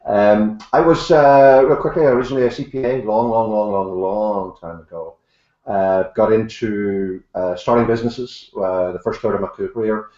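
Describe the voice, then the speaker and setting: A man's voice: on the low side, normal speed, mellow. An older man with an Irish accent, a guest author talking in an online panel with dry, self-mocking humor and frequent "uh" fillers. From Ireland. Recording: echoey room, dull lo-fi sound.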